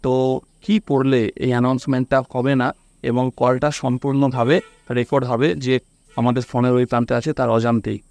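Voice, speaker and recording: A man's voice: medium pitch, little pitch movement, moderate pace, a little mellow. A man hosts a casual step-by-step phone tutorial, instructing and often checking that the listener follows. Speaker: tech tutorial host.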